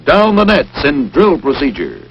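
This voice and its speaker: A man's voice: fairly high pitch, huge pitch swings, measured pace, husky. A man narrating a wartime training film in an emphatic, punchy 1940s newsreel-announcer style. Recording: dull, hissy 1940s film soundtrack.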